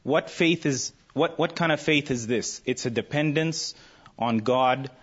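A man's voice: mid-range voice, a little slow, breathy edge. A man reading a Bible passage aloud in a group and reflecting on it reverently. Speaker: Bible study participant. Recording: muffled lo-fi recording, slightly distant.

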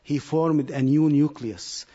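A young man in a group Bible discussion, earnestly thinking aloud with pauses. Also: on the high side, mellow. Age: young adult. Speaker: Bible study participant. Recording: muffled lo-fi recording.

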